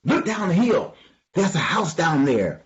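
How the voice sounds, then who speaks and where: A man voicing a plaintive, excitable old rooster in a children's story, with a whiny, dramatic delivery. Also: on the high side, unhurried, pitched above the performer's own voice. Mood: urgent. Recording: dull, lo-fi recording.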